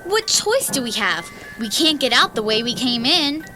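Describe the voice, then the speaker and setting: A plucky young girl in a spooky children's audio play. Her voice is high and very animated, eager and curious, with music behind it. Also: moderate pace. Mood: uneasy. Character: bold, curious young girl exploring a haunted mansion. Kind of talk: children's audio horror drama acting.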